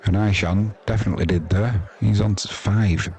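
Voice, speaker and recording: Deep-voiced British man commentating on cricket. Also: steady tone, moderate pace, dark tone. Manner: chatty, irreverent, colloquial. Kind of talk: informal live sports commentary. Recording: crowd noise behind the voice. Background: sports crowd.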